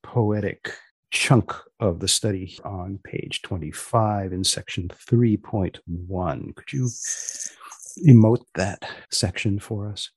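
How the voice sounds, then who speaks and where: A man's voice: deep voice, fairly even intonation, takes it slowly, a little mellow, slightly rough, no room sound, low-quality recording. A man hosting a podcast in a dry, understated conversational way, prompting his guest with questions. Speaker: podcast host. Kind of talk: conversational interviewing.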